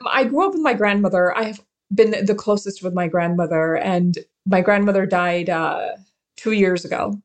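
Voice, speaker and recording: Low-voiced woman, earnest and emphatic. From born in Karachi, Pakistan. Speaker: interview guest, forced-marriage survivor and advocate. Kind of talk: earnest interview answers.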